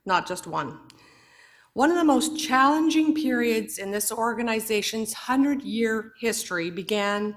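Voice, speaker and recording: A hoarse woman chairing a formal board meeting in a council chamber, measured and procedural, with occasional fillers. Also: a little high.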